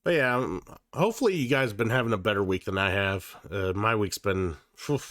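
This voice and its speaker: A man's voice: fairly low pitch, a little fast, a bit bright, no echo, clean studio sound. A man hosting a live stream of board games, chatting casually while sick and sounding slightly nasal, swearing freely. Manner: casual and profane, with many fillers and restarts. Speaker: livestream host. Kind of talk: casual livestream chat.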